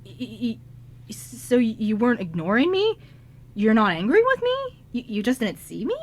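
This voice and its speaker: An anxious young male friend in a radio play, acted by a woman. The voice sits fairly high and swings widely in pitch. The delivery is halting and stammering, full of confused pleading. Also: wildly swooping pitch. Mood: confused, relieved. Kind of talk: amateur radio drama script reading.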